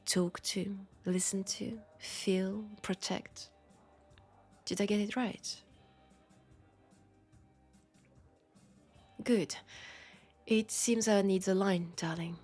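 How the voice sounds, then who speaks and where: A woman with a French accent playing an ancient, lonely, seductive female vampire in an intimate audio roleplay, soft-spoken and deliberate, tender and grave, often slipping toward a whisper. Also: fairly low pitch. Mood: intimate, wistful.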